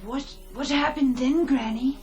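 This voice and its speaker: A timid, curious young child in a radio horror play. The voice is tiny and extremely high, hesitant and slow, with faint music behind it. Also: female voice, a little mellow, dull audio. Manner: small, hesitant, stammering. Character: timid, curious young grandchild listening to granny. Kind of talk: radio horror drama acting.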